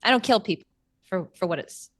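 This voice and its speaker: A woman's voice: medium pitch, animated, brisk, no echo, clean studio sound. A woman playing a tabletop roleplaying game, improvising casual in-character talk in a hesitant, tentative way.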